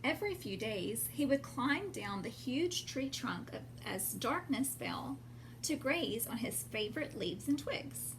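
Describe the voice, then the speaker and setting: High-voiced woman slowly reading a children's story. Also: animated. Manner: explains words and pictures simply to children. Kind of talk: expressive read-aloud to young children with asides. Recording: clear background noise.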